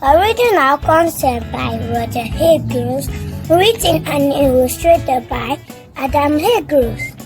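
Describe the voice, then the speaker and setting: Children's read-along storyteller: high voice, unhurried and expressive delivery, soft music underneath. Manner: expressive, slow, deliberate storytelling.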